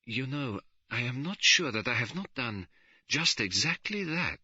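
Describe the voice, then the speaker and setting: A man plays an elderly detective who speaks slowly, distracted and musing. The voice is bright and clear in tone. The recording is dry and slightly dull. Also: medium pitch, very animated, no echo, voice pushed up, exaggerated voice. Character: dreamy elderly foreign detective, distracted and thoughtful.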